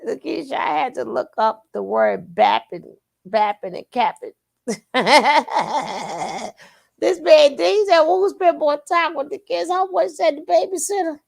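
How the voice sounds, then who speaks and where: Woman host, sassy reactor, high and animated. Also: measured pace, creaky. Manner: sassy, laughing asides. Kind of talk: reaction commentary.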